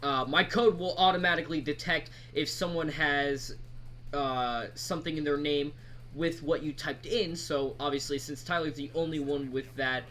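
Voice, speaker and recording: Halting man with a bright, forceful voice. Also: fairly high pitch. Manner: halting, rambling, informal. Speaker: mod creator presenting tutorial. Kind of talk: casual screen-recorded software tutorial. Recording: background noise, keyboard typing.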